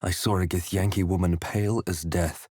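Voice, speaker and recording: A man voicing a brooding, wry fantasy adventurer hero in a video game, with measured, dramatic delivery. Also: sits low, steady tone, a little mellow, husky, no room sound, clean studio sound. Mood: grave, haunted. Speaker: video game player-character voice actor. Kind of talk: dramatic first-person fantasy game narration and voice lines.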